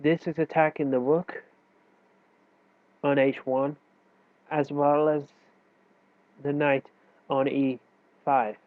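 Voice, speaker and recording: Male chess tutor with a head cold, nasal and a bit high, relaxed explaining manner, dry phone-like sound. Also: slow pace, pauses often, no room sound. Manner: loose, self-correcting explanation with fillers. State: stuffy with a cold. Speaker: chess teacher. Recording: tinny, dry phone-like recording.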